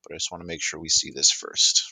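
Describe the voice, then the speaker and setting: A man presenting a casual product demo, putting questions to a voice assistant in hesitant, halting phrases. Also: rumbling low, little pitch movement, vocal fry, pushed and bright, slightly rough, no room sound, rough recording.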